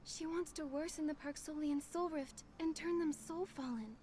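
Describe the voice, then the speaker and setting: A woman playing an earnest, gentle young heroine in a fantasy video game, speaking in a measured, sincere way. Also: high voice, a little hiss or hum, lightly acted. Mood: worried, grave. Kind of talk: scripted video game dialogue.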